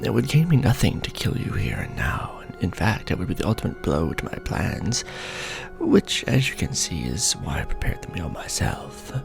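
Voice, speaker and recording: A man's voice: low voice, huge pitch swings, moderate pace, gravelly, music in the background, exaggerated voice. A man playing an ancient, pompous, scheming vampire lord in a theatrical, courtly ASMR roleplay monologue. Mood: gracious, persuasive.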